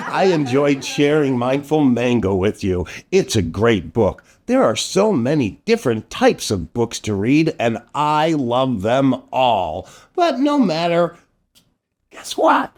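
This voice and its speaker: A man hosting a children's storytime show in a playful, exaggerated, silly way. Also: mid-range voice. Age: middle-aged. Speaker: children's storytime host and entertainer.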